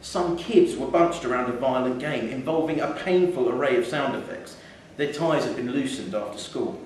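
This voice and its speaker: A man's voice: medium pitch, normal speed, darkish tone. Echoey man reading a story. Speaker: short story reader. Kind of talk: live scripted story reading, narration. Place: theatre, live reading. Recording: echoey theatre hall, distant mic, faint noise.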